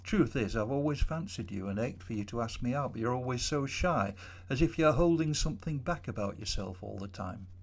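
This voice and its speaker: A man voicing a quiet young woman. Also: mid-range voice, lively intonation, brisk. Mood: confiding. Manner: quiet, soft-spoken. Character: young woman around thirty, quiet and candid.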